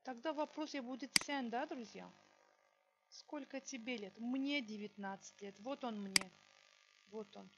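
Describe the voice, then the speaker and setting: Lady teacher going through exercises real slow, dull lo-fi sound. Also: on the high side, heavy background noise. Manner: slow, dictating example sentences word by word, frequent "да, друзья?". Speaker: language teacher. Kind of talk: online language lesson, explaining exercises.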